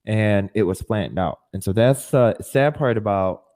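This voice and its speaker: A man's voice: on the low side, moderate pace, a little mellow. A man in his twenties recounting his life story as an interview guest, often repeating and rephrasing himself as he explains. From born in Russia, adopted as a child. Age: 20s. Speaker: podcast guest.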